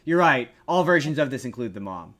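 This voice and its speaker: A man's voice: mid-range voice, very animated, brisk, a bit bright. A male podcast host with a mid-range voice talks through entertainment news in a casual, opinionated way. He rambles, restarting and repeating phrases as he works toward his point. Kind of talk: casual pop-culture news chat.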